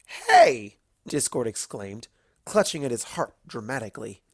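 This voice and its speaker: Expressive story narrator, close and dry. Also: medium pitch, dry recording. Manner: animated storytelling, dramatic pauses. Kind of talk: expressive scripted fan-fiction narration.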